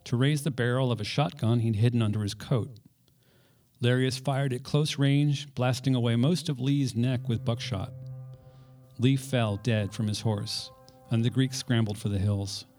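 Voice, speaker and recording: A man reading scripted history as a podcast host, in a measured, literate storytelling manner with dry understatement. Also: mid-range voice, mellow.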